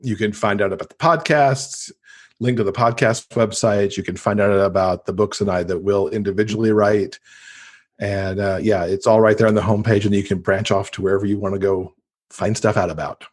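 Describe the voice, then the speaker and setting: A man's voice: lowish voice, steady tone, a little fast. An American man chatting casually and enthusiastically as an interview guest recommending books. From United States. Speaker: podcast guest, book lover.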